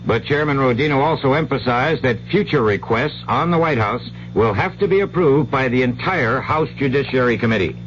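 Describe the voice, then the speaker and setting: A man's voice: mid-range voice, lively intonation, moderate pace, hardly any pauses, a bit bright, dull audio, a little hiss or hum. An American man reading a scripted radio newscast as anchor on an old 1970s broadcast. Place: radio studio.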